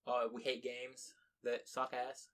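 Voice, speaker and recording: A young American man co-hosting a loose podcast conversation, voice in an ordinary middle pitch. He rambles, restarts his sentences and swears freely as he talks. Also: monotone, takes it slowly, fairly bright tone. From United States. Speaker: podcast co-host. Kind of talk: casual chat.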